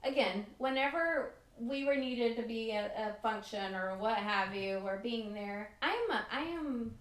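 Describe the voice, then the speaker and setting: A middle-aged woman with a somewhat high-pitched voice speaks as a guest in a conversational interview. She is emphatic but halting, often acting out short quotes of what people said. The room rings noticeably around her. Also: a bit bright. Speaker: podcast guest, military spouse. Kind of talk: conversational interview, personal reminiscence. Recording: roomy, echoing sound.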